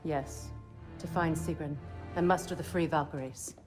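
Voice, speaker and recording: A woman's voice: low voice, steady tone, moderate pace, close to an everyday voice. A woman playing a commanding goddess and war leader in a dramatic video game, firm and purposeful. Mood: decisive. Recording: music under the voice.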